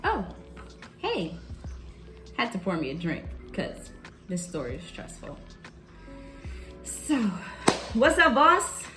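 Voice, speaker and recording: A young woman from the South Bronx tells a personal story in a loose, candid way and swears casually. Her voice sits at an ordinary pitch. She is recorded in an echoey room, with faint music playing underneath. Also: wildly swooping pitch, slow, pauses often. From South Bronx, New York. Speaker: vlogger.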